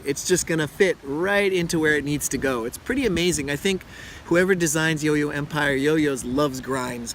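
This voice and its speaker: A man in his thirties with a scratchy, hoarse voice from being sick, chatting casually as he reviews a yo-yo outdoors in a windy park. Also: on the high side. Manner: relaxed, chatty, frequent uh fillers. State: hoarse from illness. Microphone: camera close-up.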